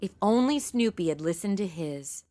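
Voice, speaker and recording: Woman playing a sassy, animated wisecracking sidekick. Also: medium pitch, moderate pace, close to an everyday voice. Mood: flippant, irreverent. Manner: flippant, sassy, deadpan wisecracks. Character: brash, irreverent modern female sidekick, flirty wisecracker. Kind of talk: comedy audio drama acting.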